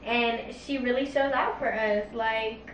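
A young woman from Florida in a casual family Q&A, sassy and playful, with quick slang. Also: on the high side, steady tone, a bit bright. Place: indoor bedroom, on a couch. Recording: echoey room, mic at a distance, faint hiss.

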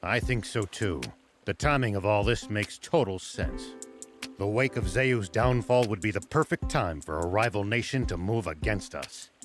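Man acting a stern old lawman, low-pitched. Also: music in the background, lightly acted. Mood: grave, certain. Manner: serious, deliberate, authoritative. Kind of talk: English video game voice acting.

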